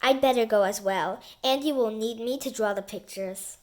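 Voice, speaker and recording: A woman voicing an excitable, naive, goofy boy from a children's storybook, eager and expressive. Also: a little high, moderate pace, voice pushed down, lightly acted.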